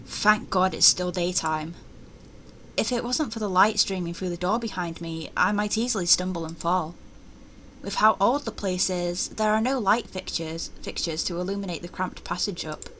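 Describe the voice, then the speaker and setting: A woman's voice: on the low side, normal speed, sharp tone, slight background noise. A woman voicing an anxious, superstitious young estate agent in a horror visual novel, with a dramatic, uneasy manner. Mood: uneasy, narrating. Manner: dramatic, uneasy inner monologue. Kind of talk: visual novel voice-over reading.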